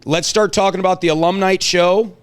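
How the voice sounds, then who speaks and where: A man's voice: sits high, steady tone, brisk, smooth. A man hosting a casual talk show, chatting in a folksy way and prodding guests with questions. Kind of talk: casual chat, interviewing guests.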